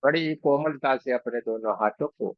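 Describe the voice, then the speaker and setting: A man leading a guided meditation as a reiki guide, speaking slowly and soothingly with pauses and repeated phrases. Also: medium pitch, no room sound, lo-fi sound. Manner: slow, soothing, repetitive instruction with frequent pauses.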